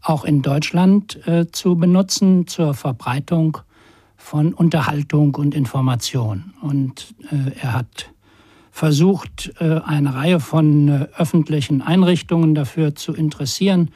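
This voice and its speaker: Dark, husky male scholar explaining. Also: fairly high pitch, a little slow, no room sound, studio quality. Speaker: communication scholar. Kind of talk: explanatory interview talk.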